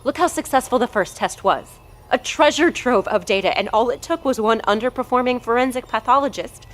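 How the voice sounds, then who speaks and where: An actress playing an arrogant, impatient research doctor, haughty and cutting in an audio drama. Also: female voice, fairly high pitch, fairly quick, lightly acted. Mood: angry, exasperated. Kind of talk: scripted audio drama dialogue.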